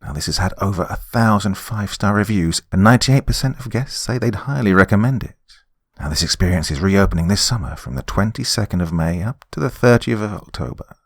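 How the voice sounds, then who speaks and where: A British man with a deep voice works as a horror podcast narrator and host. He reads scripts dramatically, with deliberate emphasis, in a very dry recording. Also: animated, no echo.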